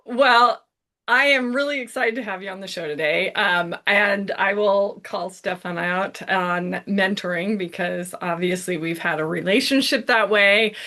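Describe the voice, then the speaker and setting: A woman hosts a podcast interview in an upbeat way and laughs often. She speaks slowly, and her voice is bright. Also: mid-range voice. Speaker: podcast host. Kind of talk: casual interview chat.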